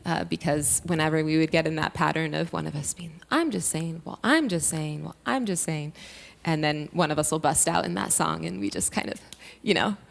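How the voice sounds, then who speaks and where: A woman's voice: low-pitched, brisk, gravelly, hardly any pauses. A woman singer chatting casually and playfully between songs at an open mic.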